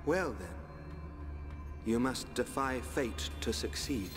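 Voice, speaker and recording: A calm, enigmatic game guide who speaks like an oracle. His delivery is slow and solemn, every phrase measured, ringing out in a long hall reverb over clear music. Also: medium pitch. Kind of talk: scripted video game cutscene acting.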